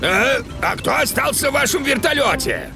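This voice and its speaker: A man voicing a gruff old grandfather dog mechanic with a gravelly voice in a children's cartoon. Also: very high voice, very animated, moderate pace, exaggerated voice. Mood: gruff, puzzled. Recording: loud music behind the voice.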